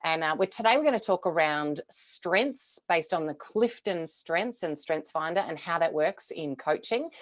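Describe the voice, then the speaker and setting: A woman's voice: low voice, expressive, normal speed, hardly any pauses. A woman hosting a conversational interview over a video call, friendly and enthusiastic. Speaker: host.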